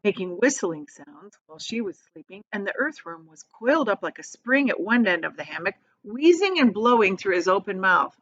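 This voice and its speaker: A woman's voice: medium pitch, sing-song, normal speed, few pauses, a bit bright, highs cut off. A woman reading a children's story aloud like a teacher, expressively, breaking off for plain, casual explanations. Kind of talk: animated storybook read-aloud with casual asides.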